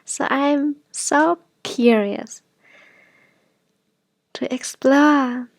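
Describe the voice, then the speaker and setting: A woman leads a guided affirmation meditation in a fairly high voice. She speaks very slowly and gently, softening often into breathy near-whispers. The sound is close and dry. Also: no room sound.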